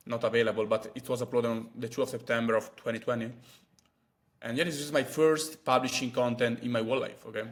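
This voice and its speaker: A man, chatting casually to camera as a vlogger with many fillers and asides. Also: medium pitch. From Italy. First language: Italian. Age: 20s.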